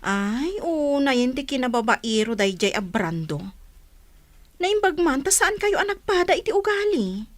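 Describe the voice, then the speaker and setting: A woman's voice: high voice, sing-song, a little slow, no echo. A woman playing an outspoken, sympathetic young village friend in a radio drama, with an Ilocano accent. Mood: indignant, teasing.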